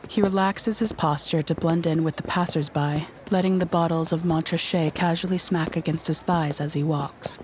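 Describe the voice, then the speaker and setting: A woman's voice: sits low, fairly even intonation, normal speed, few pauses, darkish tone, a little hiss or hum. A woman narrating a scripted audio drama in a descriptive storytelling manner.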